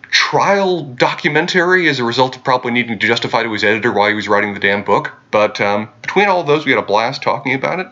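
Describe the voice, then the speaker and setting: Fast, wry male co-host. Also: on the low side, sharp tone, muffled recording. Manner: dry, wordy, wry humor. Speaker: podcast co-host. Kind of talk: casual podcast chat.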